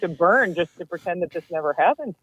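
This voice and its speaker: A woman's voice: on the low side, lively intonation, fast talker, a bit bright. A woman who works as a news reporter and grew up in Minnesota, a guest on a casual talk show. She is chatty, with lots of fillers and self-deprecating asides. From Minnesota, USA. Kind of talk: casual chat. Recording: tinny, dry phone line.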